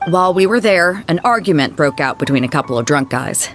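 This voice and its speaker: Easygoing female sea captain for a game: hearty, informal, very lively intonation, music beneath. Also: low voice, brisk. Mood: storytelling. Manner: breezy, informal, expressive. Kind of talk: video game voice acting.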